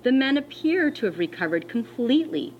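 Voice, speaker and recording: A woman schoolteacher reading a children's storybook aloud, expressively and deliberately, with dramatic pauses. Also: high voice, big pitch swings, highs cut off, slight background noise. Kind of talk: read-aloud of a children's storybook with teacher asides.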